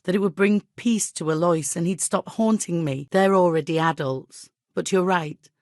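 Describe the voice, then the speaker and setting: An actress playing a middle-aged medieval village widow in a video game, devout and guilt-ridden, with an exasperated, imperious and plaintive manner. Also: female voice, lowish voice, moderate pace, darkish tone, no room sound, clean studio sound, natural voice. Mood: guilty, remorseful. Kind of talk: dramatic video game dialogue acting.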